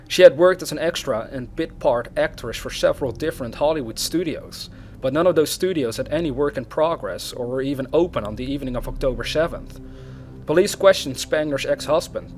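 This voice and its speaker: Man, fairly high-pitched voice, true crime narrator, read-aloud storytelling, faint background music. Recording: music behind the voice.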